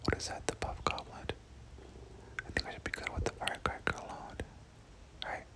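Whispered voice, ASMR game commentary, casual slang, muttered repetitions, close to the mic. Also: a little fast. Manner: casual, slangy, muttering reactions and repeating phrases. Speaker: gaming video creator.

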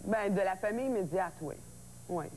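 A woman, a singer chatting as a guest on a TV talk show, relaxed and quick with short replies. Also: mid-range voice, slight background noise, rough recording. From Ontario, Canada. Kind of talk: casual TV interview chat. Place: indoor TV studio lounge.

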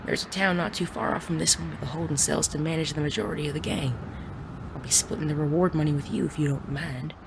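Woman as a suave, creaky-voiced gentleman bandit. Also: sits low, steady tone, fast, background noise. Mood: cool, persuasive. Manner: articulate, persuasive. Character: suave, sardonic gentleman bandit of the Wild West. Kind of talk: western audio drama.

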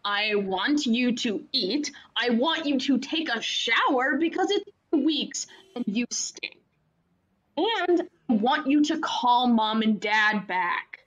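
High-voiced, blunt, caring sister played by a woman. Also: expressive, takes it slowly, a bit bright, lo-fi sound. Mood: exasperated, concerned. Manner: blunt, teasing, insistent. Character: caring, blunt sister coaxing her withdrawn brother. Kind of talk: radio play acting.

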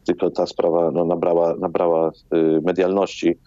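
Male medical guest, forties, low mellow voice, halting explanations, dull video-call sound. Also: monotone, dry recording. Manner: hesitant, repeats words as he explains. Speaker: doctor, hospital chief interviewed as guest. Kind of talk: live radio interview answers.

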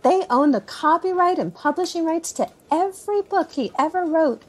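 A woman's voice: very high-pitched, lively intonation, moderate pace. An actress playing a candid, motherly woman, the niece of an author, chatting naturally in an audio drama interview. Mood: candid, reflective. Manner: conversational, candid.

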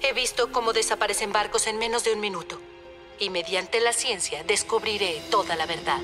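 Woman as a determined professor doing a formal log entry, medium pitch, cartoon dub, music behind. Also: a little fast, lightly acted. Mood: resolute. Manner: formal, resolute. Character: determined, proud female scientist logging research.

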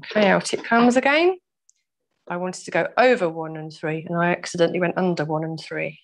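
A woman with a croaky voice teaching a weaving workshop over a video call, patiently explaining each step and laughing off her own mistakes. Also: on the low side, fairly quick. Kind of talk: step-by-step craft demonstration. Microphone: laptop mic over video call.

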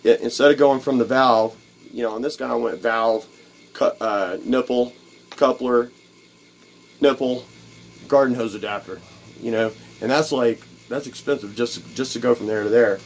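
Man, DIY host at his workbench, plain mid-pitched voice, loose and rambling, faint shop hiss behind him. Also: fairly even intonation, normal speed, rough recording. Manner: rambling, repeats words, many fillers. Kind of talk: casual workshop how-to demonstration. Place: cluttered home workshop at a workbench.

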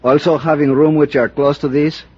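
A husky, halting Mexican cop. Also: male voice, on the high side, level tone, darkish tone, dry recording. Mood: earnest, inquiring. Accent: Mexican Spanish. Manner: halting broken English mixed with Spanish, deferential. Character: earnest, polite Mexican police officer, halting English. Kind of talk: 1950s radio drama acting. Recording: muffled old radio recording.

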